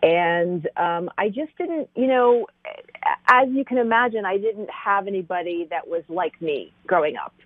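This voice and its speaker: A middle-aged woman from Iraq, raised in Kentucky, answering interview questions in a halting way with many restarts and fillers, heard over a phone line. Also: medium pitch, expressive, dry recording. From born in Iraq, raised in Kentucky. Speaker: author guest.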